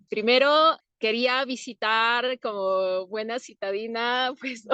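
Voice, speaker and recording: Slow-spoken Peruvian woman. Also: a little high, no echo. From Peru. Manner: unhurried, thoughtful, soft laughs between answers. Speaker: interview guest, herbalist. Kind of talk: relaxed interview answers.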